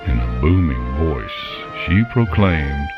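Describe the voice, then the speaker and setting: A man's voice: very deep voice, animated, slow. A man giving dramatic scripted story narration, with a dull lo-fi recording. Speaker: guest narrator. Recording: dull lo-fi, music underneath. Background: background music.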